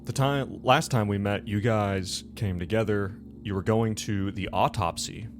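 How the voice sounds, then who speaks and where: A man's voice: lowish voice, measured pace, music in the background. A man hosting a tabletop game show as game master, chatting and recapping casually in a rambling way, often correcting himself.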